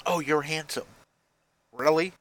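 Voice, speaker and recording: A man's voice: on the high side, measured pace, fairly bright tone, no room sound. A man in his forties talking into a headset mic at home, rambling and running himself down, with many restarts. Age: 40s. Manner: rambling, self-deprecating, frequent restarts. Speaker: vlogger. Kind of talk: casual self-deprecating vlog talk. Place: basement room at home.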